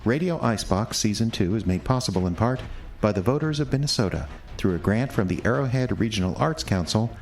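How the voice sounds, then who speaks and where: Low-voiced man announcing credits. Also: lively intonation, a little fast, a little mellow, soft background music. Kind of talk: scripted credits narration.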